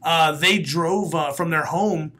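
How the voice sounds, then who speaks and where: Comedian guest, male, late 30s, raspy, bright forceful tone, animated talker, studio mic. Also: fairly high pitch, slow. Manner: animated storyteller, laughs often, bursts into loud announcer calls. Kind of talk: casual podcast interview. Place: small studio room. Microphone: studio mic, headphones.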